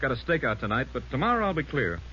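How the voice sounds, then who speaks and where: Jovial cop, quick, bouncy intonation, teasing banter, old radio drama, dull recording. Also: male voice, medium pitch, very animated, bright, forceful tone, slight background noise. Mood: breezy, teasing. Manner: breezy, teasing. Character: jovial, teasing male police detective, married matchmaker. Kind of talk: 1940s radio acting, casual banter.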